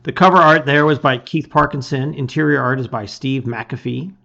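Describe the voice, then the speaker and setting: Middle-aged man, medium pitch, read-aloud host, emphatic delivery, soft phrase endings, slightly muffled lo-fi audio. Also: moderate pace, vocal fry. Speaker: host reading a gamebook aloud. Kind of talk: casual intro and dramatic read-aloud of storybook narration. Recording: slightly dull, lo-fi sound.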